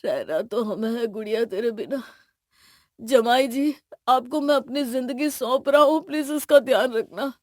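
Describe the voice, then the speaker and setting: A woman's voice: fairly high pitch, normal speed, a little mellow, no echo, natural voice. Woman as sorrowful middle-aged dad, tender and pleading, family audio drama, dry close sound. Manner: pleading, sentimental.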